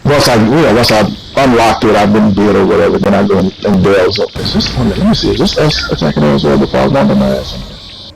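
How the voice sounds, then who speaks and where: A man hosting a casual gaming workshop, talking while he plays, halting with many fillers and restarts. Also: fairly low pitch, very animated, creaky, over loud music, poor audio. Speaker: workshop host.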